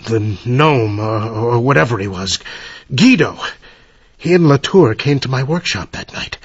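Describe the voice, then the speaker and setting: An actor playing an irascible, cornered professor with a guilty secret in an old-time radio mystery, theatrical and imperious. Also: male voice, medium pitch, lively intonation, measured pace, breathy edge. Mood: grim, confessing. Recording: muffled radio drama recording.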